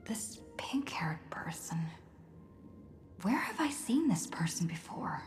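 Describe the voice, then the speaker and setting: A woman voicing a curious young video game protagonist, musing in a halting, expressive way. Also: mid-range voice, in a whisper, faint music behind. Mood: puzzled, hushed. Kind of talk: video game character dialogue.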